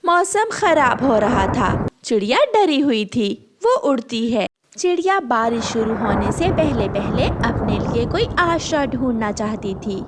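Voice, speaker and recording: A woman storyteller with a high-pitched voice. She reads scripted narration for a children's cartoon tale, with very animated, melodic intonation. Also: a little slow, few pauses, background noise.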